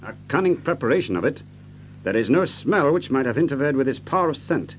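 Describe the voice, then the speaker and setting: An actor playing a coolly analytical gentleman detective in an audio mystery drama, precise, theatrical and authoritative. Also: medium pitch, very animated, a little fast, slight background noise, close to an everyday voice.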